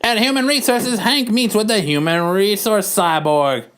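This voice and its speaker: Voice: medium pitch, normal speed, fairly bright tone. A podcast host narrating a comic book dramatically in an amateur, casual way.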